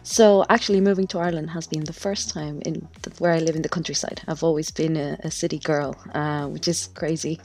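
Low-voiced woman. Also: fairly even intonation, brisk, few pauses, darkish tone. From Mexico. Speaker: podcast guest, natural textile dyer. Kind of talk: casual interview talk.

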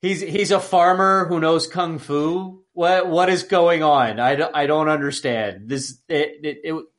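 A man co-hosting a podcast, giving casual opinions in an exasperated, halting, repetitive way. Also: on the high side. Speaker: podcast co-host. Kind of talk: casual chat, opinionated commentary.

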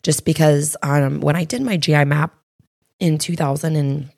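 A woman in her thirties with a raspy voice, chatting candidly as a podcast co-host and health coach, with plenty of fillers. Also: sits low, fairly even intonation, moderate pace, a little mellow, no room sound, clean studio sound. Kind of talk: casual podcast chat.

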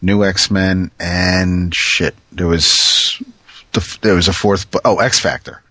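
Deep, slow, husky co-host. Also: male voice, steady tone, pushed and bright, no echo. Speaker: podcast co-host. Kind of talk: casual chat.

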